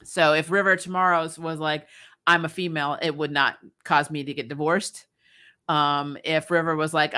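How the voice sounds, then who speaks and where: A woman with a fairly low voice that has a bright, forceful edge. She talks like a livestream host in a casual fan chat, informal and blunt, with swearing mixed in. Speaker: host of a fan recap show.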